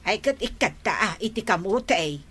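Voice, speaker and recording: A woman's voice: mid-range voice, lively intonation, moderate pace, fairly bright tone, slightly rough. A woman with a Northern Philippine accent playing a watchful, grumbling mother of a grown daughter in a radio drama. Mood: motherly.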